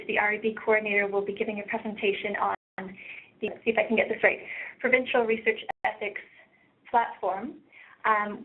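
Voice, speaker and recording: A soft-voiced woman with a Canadian accent giving a webinar presentation over a thin conference line. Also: mid-range voice, narrow, tinny audio. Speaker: presenter, research practice lead.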